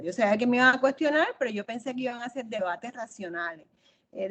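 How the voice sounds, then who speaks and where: A woman's voice: on the high side, a little hiss or hum. A middle-aged woman from Cuba answering interview questions as an author, explaining at length and repeating phrases for emphasis.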